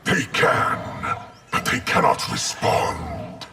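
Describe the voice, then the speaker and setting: A man playing a menacing, proud undead being of many souls in a fighting game, speaking in a stately, formal way with a breathy, growling rasp. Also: slow, heavy background noise, lightly acted. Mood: solemn, proud. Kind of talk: fighting game dialogue acting. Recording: loud music over the voice.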